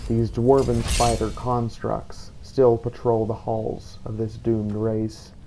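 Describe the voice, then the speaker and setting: A man voicing a solemn, devout vampire hunter from a desert land, telling his journey in measured, grave journal narration for a game playthrough. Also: on the low side, creaky, warm tone, slight background noise, rough recording. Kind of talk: scripted in-character journal narration.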